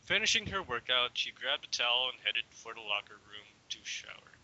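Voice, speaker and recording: A man's voice: mid-range voice, sing-song, a little fast, pushed and bright. A young man giving an amateur dramatic reading of a story, stumbling now and then and slipping into casual asides. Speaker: reader. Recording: dull lo-fi home recording.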